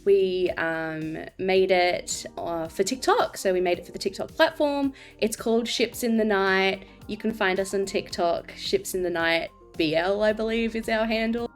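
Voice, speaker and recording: A woman's voice: medium pitch, normal speed, hardly any pauses, soft background music. A woman with an Australian accent, a podcast co-host chatting casually, giggling, self-deprecating and swearing freely. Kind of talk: casual interview chat.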